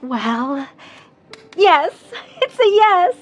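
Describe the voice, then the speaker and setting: A woman's voice: very high voice, very animated, drawn-out words, lo-fi sound, soft background music, exaggerated voice. A woman playing young Jane, an earnest newlywed wife torn between her husband and her ailing father. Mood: warming.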